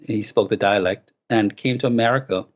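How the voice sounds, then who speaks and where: A man with a Jamaican accent, an author being interviewed, answering in a measured, eloquent way with frequent restarts. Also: on the low side, muffled recording. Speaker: guest author.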